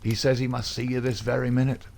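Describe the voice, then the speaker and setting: Man doing a jittery apologetic bartender, gravelly voice. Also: mid-range voice, little pitch movement, a little mellow, slight background noise, pitched above the performer's own voice, lightly acted. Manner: hurried, apologetic. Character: nervous, apologetic male bartender.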